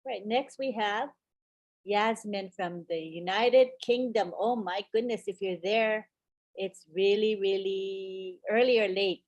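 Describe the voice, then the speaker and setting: A woman's voice: mid-range voice, darkish tone, no room sound, dull audio. Upbeat woman hosting a video call. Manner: upbeat and encouraging, drawn-out introductions. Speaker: host of an online group call. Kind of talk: casual moderating on a video call.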